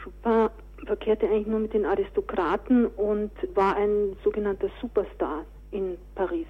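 A woman's voice: mid-range voice, steady tone, moderate pace, breathy edge, phone-quality sound. A woman answering interview questions conversationally as an author and director, on a thin radio recording.

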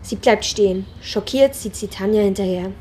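A woman's voice: mid-range voice, moderate pace, warm tone, lightly acted. A woman narrating an amateur radio play as a neutral storyteller, reading from a script on a slightly noisy recording. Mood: matter-of-fact.

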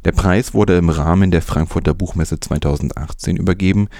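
A man's voice: low-pitched, fairly quick, mellow, no room sound, clean studio sound. A man presenting scripted news as a narrator.

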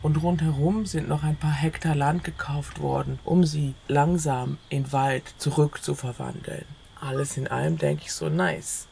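Audio play narrator, first-person literary storytelling with quoted dialogue. Gravelly fry, middle pitch, slight background noise. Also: steady tone, a little slow, few pauses, mellow.

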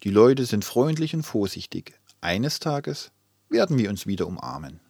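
A calm, thoughtful man, played by an actor with a low voice in a very dry recording. He speaks reflectively and articulately, reading online comments for a radio play collage. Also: moderate pace, slightly rough, no room sound, heavily acted.

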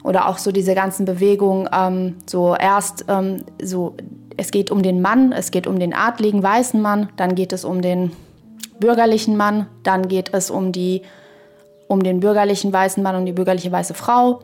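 A woman talks through ideas in a reflective podcast conversation, with a medium-pitched voice. She feels her way haltingly through sentences and checks in with small tag questions. Faint music plays behind her. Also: fairly even intonation, a little mellow. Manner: halting, searching, thinking aloud with frequent tag questions. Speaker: podcast guest or co-speaker.

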